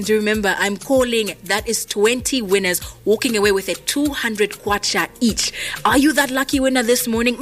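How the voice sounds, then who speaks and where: A woman's voice: mid-range voice, a bit bright. A woman with a Zambian accent hosting a live radio promotion with prize phone calls, chatty and moving between announcing and casual talk. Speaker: radio host. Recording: music behind the voice. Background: music.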